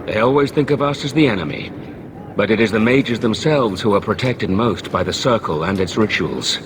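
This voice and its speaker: An actor playing a sober, guarded templar knight in a video game, speaking in measured, formal phrases. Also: male voice, fairly low pitch, animated, a little hiss or hum, natural voice. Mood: stern, guarded. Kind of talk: serious video game character acting.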